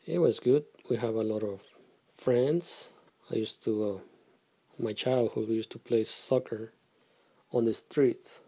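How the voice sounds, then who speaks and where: A middle-aged man from Guatemala, answering questions in a casual family interview, halting and soft-spoken with pauses and restarts. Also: mid-range voice, slightly rough. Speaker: interviewee, father.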